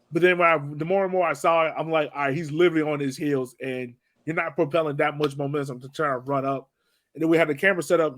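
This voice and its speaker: Higher-pitched man chatting about sports. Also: fairly bright tone, no echo. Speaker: podcast host moderating a soccer discussion. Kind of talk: casual sports chat, post-game analysis.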